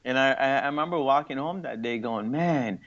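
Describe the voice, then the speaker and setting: A man around forty from Singapore, talking over his past in an interview, pausing and restarting as he thinks. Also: mid-range voice, a bit bright. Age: late 30s or 40s. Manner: thoughtful, halting with restarts and fillers. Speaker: guest. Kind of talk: reflective interview talk. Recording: muffled lo-fi audio.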